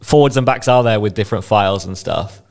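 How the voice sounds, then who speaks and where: A man's voice: fairly low pitch, fast, vocal fry. A man working as a radio host, asking questions in a casual, enthusiastic and encouraging way. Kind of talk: casual interview chat.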